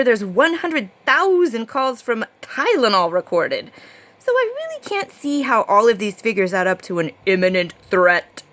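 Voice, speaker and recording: A woman's voice: mid-range voice, fairly bright tone. A woman reading her own rhyming verse aloud like a storybook tale, with a rhythmic, theatrical storytelling delivery.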